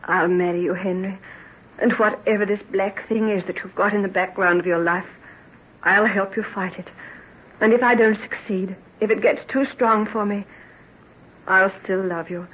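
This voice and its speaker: An actress playing a devoted, self-effacing plain woman in love, emotional and halting, in an old-time radio drama. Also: medium pitch, steady tone, close to an everyday voice. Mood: quiet, devoted. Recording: muffled, rough old radio recording.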